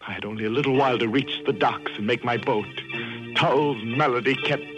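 An actor narrating as a cold, obsessed murderer in a 1940s radio drama, brooding and menacing. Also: male voice, medium pitch, a little fast, close to an everyday voice, rough recording. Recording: music behind old radio recording.

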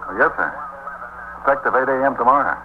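Quick, lively radio police lieutenant, a man acting. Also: mid-range voice, natural voice. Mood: casual, deferential. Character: deferential, chatty male police desk lieutenant. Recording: muffled, noisy 1950s radio recording.

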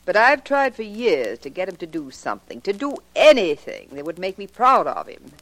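A bitter, contemptuous wife in an old radio crime play. Her medium-pitched voice rises and falls dramatically, with cutting, drawn-out phrases. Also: sing-song, fairly bright tone, poor audio. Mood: bitter scorn. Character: scornful, cold wife contemptuous of her weak husband.

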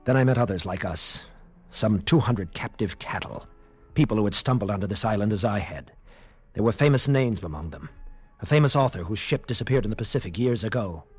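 Haunted, low-voiced captive lieutenant. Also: male voice, lively intonation, a little mellow, husky, soft background music. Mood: grave, haunted. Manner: intense, grave, dramatic pauses. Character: haunted, defiant escaped captive lieutenant telling his ordeal. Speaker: narrator and protagonist. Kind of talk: dramatic first-person radio narration, 1940s-50s.

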